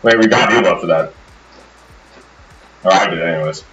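A man with a fairly low, bright voice chats casually during a game. He sounds far from the mic, in a roomy echo. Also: moderate pace, faint music behind. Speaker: video game player. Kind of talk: casual voice chat. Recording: distant, echoey, muffled voice chat.

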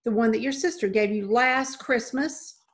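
A woman voicing a tiny older housewife who is steady, stern and no-nonsense, in a storybook reading. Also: on the high side, normal speed, slight background noise, pitched above the performer's own voice, close to an everyday voice. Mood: firm, stern. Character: tiny, steady, firm older custodian's wife.

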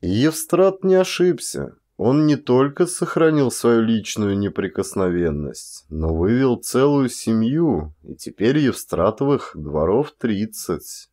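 A man reading a literary audiobook as an actor, with measured, dramatic phrasing and deliberate pauses. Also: mid-range voice, big pitch swings, mellow. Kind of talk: expressive literary audiobook narration.

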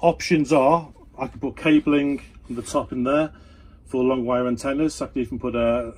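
A man's voice: mid-range voice, darkish tone. British man, casual demo over background noise. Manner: unscripted, hesitant, thinking aloud. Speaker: hobbyist presenter. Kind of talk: casual hands-on demonstration vlog. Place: small wooden workshop, indoors. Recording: noisy room ambience, handheld camera. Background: clear background noise.

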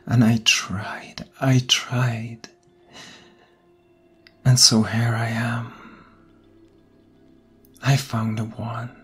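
A man playing a brooding, seductive vampire lover in an ASMR roleplay, often whispering, with intimate dramatic pauses. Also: medium pitch, little pitch movement, a bit bright, husky, full character voice. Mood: anguished, pleading.

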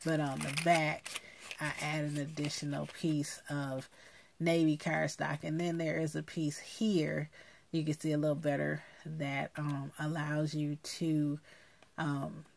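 A woman with a low voice and noticeable vocal fry presents a craft project. She talks casually and explains things in a halting, piece-by-piece way, at an unhurried, slow pace. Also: little pitch movement, slight background noise. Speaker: craft video host.

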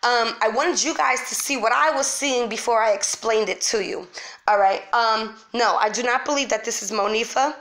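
A woman's voice: on the high side, normal speed, a bit bright. A woman chatting casually to her online followers, informal and repeating words for emphasis, sick and losing her voice. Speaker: online video host. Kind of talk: casual chat to followers, commentary.

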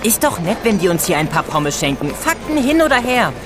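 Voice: mid-range voice, sing-song, fairly quick, music in the background, natural voice. A performer playing a cheerful, curious and easygoing boy in a children's audio drama.

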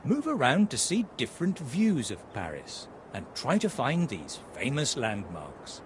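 Very animated male children's game narrator. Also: mid-range voice, measured pace, slight background noise, poor audio. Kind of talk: upbeat instructional narration for small children.

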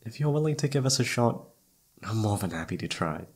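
A man playing a self-doubting, lovestruck best friend in an intimate ASMR roleplay, speaking softly and haltingly with tender hesitation. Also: fairly low pitch, lively intonation, breathy edge. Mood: tender, shy. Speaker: amateur voice actor.

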